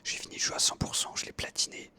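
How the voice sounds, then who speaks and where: A young adult whispering breathily in a casual ASMR style, chatting informally and enthusiastically while narrating gameplay up close. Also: fast talker. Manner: informal, enthusiastic gamer talk.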